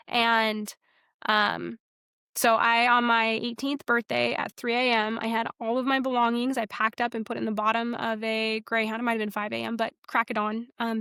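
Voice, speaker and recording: Earnest young woman, smooth dry voice. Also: fairly high pitch, fairly bright tone, no room sound. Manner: earnest, frank, many fillers and restarts. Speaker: guest sharing her testimony. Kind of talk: casual personal storytelling.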